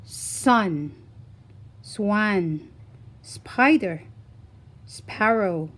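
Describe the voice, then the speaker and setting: A woman reading a scripted phonics lesson for young children, deliberately enunciating single words in an instructional way. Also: medium pitch, animated. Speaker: children's phonics teacher and reader.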